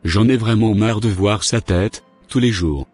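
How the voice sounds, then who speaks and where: A man's voice: on the low side, measured pace, darkish tone, husky, soft background music. A man playing a resentful, grumbling father and engineer in a cartoon, with a stiff scripted delivery. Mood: irritated, eager. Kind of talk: animated cartoon dialogue.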